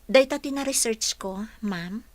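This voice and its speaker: A woman's voice: on the high side, measured pace, dry recording, natural voice. An actress with a Filipino Ilocano accent playing a polite, eager young woman seeking office work in a radio drama. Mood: earnest. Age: young adult. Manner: polite, deferential. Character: polite, eager young female job applicant and dutiful daughter.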